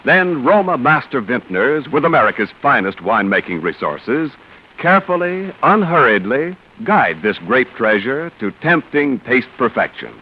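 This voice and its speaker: A man delivering a deliberate, emphatic 1940s radio sales pitch as a commercial announcer. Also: sing-song, slight background noise. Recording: muffled old radio recording.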